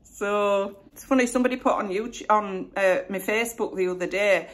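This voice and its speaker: A woman's voice: medium pitch, a little fast. Yorkshire lady in her 40s, rambly friendly q&a chat at home, lots of "you know". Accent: West Yorkshire. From Northern England. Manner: chatty, self-deprecating, lots of "you know". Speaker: YouTuber. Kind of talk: casual Q&A chat to camera. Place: home room with flowers behind.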